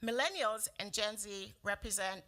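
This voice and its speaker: A middle-aged woman from South Africa whose first language is Sepedi, delivering a prepared startup pitch in a measured, earnest way. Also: sharp tone.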